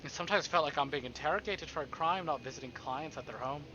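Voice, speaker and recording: Guy streamer narrating a visual novel, bright highish voice, kinda theatrical, slightly muffled audio. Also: quick pace, a little hiss or hum. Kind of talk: dramatic read-aloud of a visual novel with casual asides.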